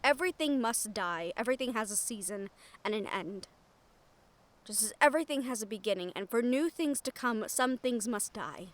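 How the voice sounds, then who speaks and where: Woman acting a high-voiced wry fantasy thief. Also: lively intonation, natural voice. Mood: scornful. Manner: dramatic pauses, sighs, sardonic. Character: wry, foul-mouthed female shadow thief, nervous but frank. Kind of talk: fantasy audio roleplay addressed to listener.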